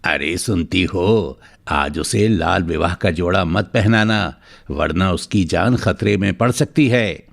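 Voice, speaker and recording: A man's voice: low-pitched, slow pace, vocal fry, heavily acted. A man playing a worried middle-aged village headman and father in a cartoon story, earnest and declamatory. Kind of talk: melodramatic cartoon story acting.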